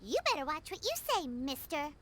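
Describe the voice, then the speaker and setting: Very squeaky, excitable little fairy. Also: female voice, fairly quick, a little hiss or hum. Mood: indignant. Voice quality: squeaky, childlike. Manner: refers to self in third person, indignant. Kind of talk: video game voice acting.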